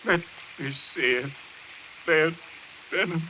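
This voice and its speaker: An actor playing an obsessed, unhinged former surgeon held as an asylum patient, insistent and accusing in 1940s radio style. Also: male voice, on the high side, slow pace, sharp tone, heavily acted, low-quality recording. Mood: pleading. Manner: insistent, repeats his questions, accusing. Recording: old 1940s radio, hissy with rain noise.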